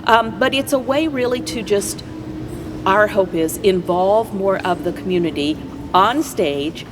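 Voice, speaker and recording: A woman guest in a conversational interview, explaining things agreeably in halting phrases. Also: medium pitch. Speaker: community theater player, interview guest. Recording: faint noise and background ambience.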